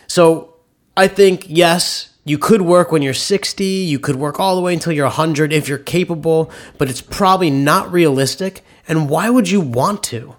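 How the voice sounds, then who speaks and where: A man with a nasal, slightly high voice, talking through money on a casual podcast. He explains with quick, opinionated enthusiasm. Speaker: podcast co-host, author.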